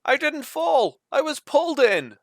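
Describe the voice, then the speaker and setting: A man voicing an alarmed storybook adventurer trapped in a crevasse, shouting urgently. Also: very high-pitched, very animated, measured pace, dry recording, studio quality, voice pushed up, heavily acted. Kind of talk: storybook character voice.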